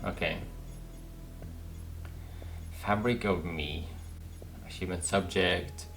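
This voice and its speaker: Man, gaming commentary, deep gravelly voice, musing, repetitive, music underneath. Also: fairly even intonation, takes it slowly. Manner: musing aloud, repeating words. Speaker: gaming video host. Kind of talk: casual playthrough commentary. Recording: music behind the voice. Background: game music.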